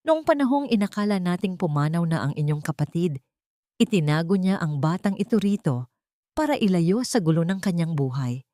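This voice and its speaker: A woman voicing a gentle, respectful elderly male family caretaker in an audiobook drama. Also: on the low side, big pitch swings, moderate pace, no echo, studio quality. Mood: solemn, revealing. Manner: respectful, mild.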